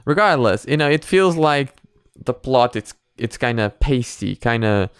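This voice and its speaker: Smooth-voiced rambling man. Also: mid-range voice, lively intonation, a little slow, no room sound, studio quality. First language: Portuguese. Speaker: reaction host. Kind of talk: casual reaction commentary.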